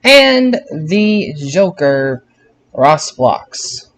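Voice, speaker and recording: A man hosting a podcast roundup, halting and often restarting his phrases. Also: on the high side, big pitch swings, fairly bright tone. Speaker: podcast host.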